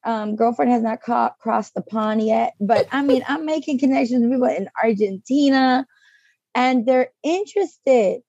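Mellow-voiced woman guest, dull dry sound. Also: on the high side, moderate pace, creaky, dry recording. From U.S. Virgin Islands. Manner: lively storyteller, tags lines with "right?". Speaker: podcast guest. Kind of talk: casual interview chat.

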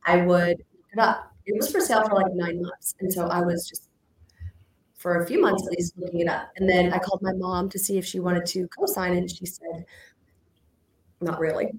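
A woman, chatting casually as a guest who owns a house, talking through a voice she is losing, joking often and filling pauses with ums. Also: on the low side, fairly even intonation, echoey room, low-quality recording. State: losing her voice. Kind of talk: casual livestream chat.

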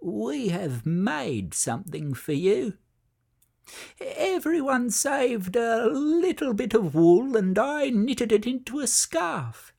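A narrator voicing Barney, a gentle, kind sheep friend, speaking softly and quietly. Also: medium pitch, big pitch swings, slow pace, gravelly, pitched above the performer's own voice, exaggerated voice. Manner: soft, kindly and quiet.

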